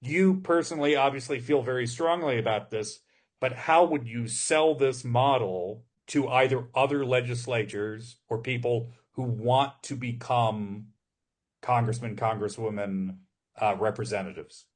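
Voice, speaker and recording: Thoughtful male podcast interviewer, mid-pitched. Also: unhurried. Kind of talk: conversational interview questioning.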